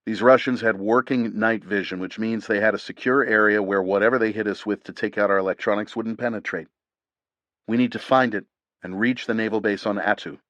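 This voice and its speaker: Man voicing a measured, steady operative, deep and dry. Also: no room sound, lo-fi sound, close to an everyday voice. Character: calm, focused male military operative.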